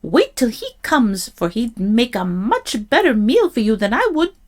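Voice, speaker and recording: A woman's voice: fairly high pitch, very animated, unhurried, darkish tone. A woman voicing a tiny, timid young male goat from a storybook in a small, thin, pleading voice. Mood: frightened pleading. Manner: pleading, meek.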